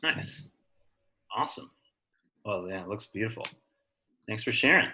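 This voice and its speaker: Low-voiced male teacher. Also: lively intonation, unhurried, bright, forceful tone, slight background noise. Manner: encouraging, informal. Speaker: science teacher. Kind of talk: casual online class talk. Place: online video class.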